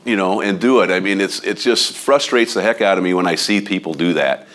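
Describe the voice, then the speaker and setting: Loud, blunt Midwestern man with a deep voice. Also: brisk. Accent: Midwestern American. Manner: loud, overbearing, blunt and animated. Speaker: real estate agent giving a training talk. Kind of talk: informal training talk to a room of agents. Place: office meeting room.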